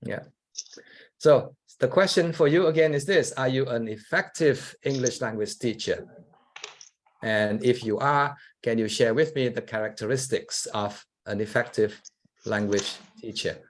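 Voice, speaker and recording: A man with an Indonesian accent whose first language is Javanese, giving a public lecture to teachers in a relaxed, conversational way and often adding "yeah" to check in with the audience. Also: mid-range voice, measured pace.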